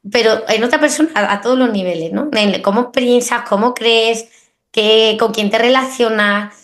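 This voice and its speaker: A woman's voice: on the high side, moderate pace, fairly bright tone. Woman host, reflective and chatty. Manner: reflective, lots of tag questions and restarts. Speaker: podcast host. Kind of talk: conversational podcast interview.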